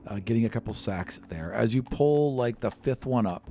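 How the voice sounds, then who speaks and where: A man's voice: mid-range voice, animated, normal speed, mellow, slight background noise. A man narrating a tabletop role-playing game as game master, improvising descriptions in a conversational way.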